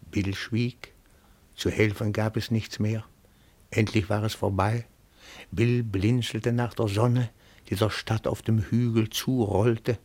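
An actor playing a timid, reverent elderly retired bookkeeper, pedantic and deferential, with a gravelly, breathy voice in a German radio play. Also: male voice, fairly low pitch, darkish tone, full character voice. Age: older. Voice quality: gravelly, husky, often breathy. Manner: deferential, pedantic, slow and careful.

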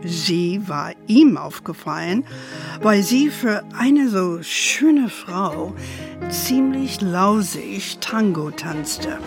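A woman's voice: medium pitch, animated, very slow. A woman narrating a German children's radio play in a storytelling style. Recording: music under the voice.

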